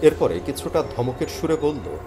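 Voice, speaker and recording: A man playing an earnest, dejected young job seeker, telling his own story dramatically in an animated thriller. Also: fairly high pitch, moderate pace, music in the background. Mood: suspenseful. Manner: expressive, earnest. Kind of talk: dramatic first-person scripted story narration with dialogue.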